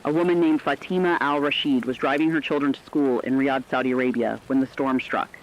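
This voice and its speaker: A man acting as a solemn radio host speaks in a medium-pitched voice over a slightly dull, lo-fi signal. He is earnest and narrates like a storyteller on a scripted disaster broadcast. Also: steady tone, brisk, a little hiss or hum, close to an everyday voice. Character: solemn, earnest male host of a last surviving radio station.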